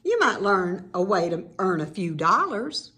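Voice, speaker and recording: A woman reading a children's picture book aloud in an expressive, teacherly way, with informal explanatory asides to young listeners. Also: medium pitch, unhurried.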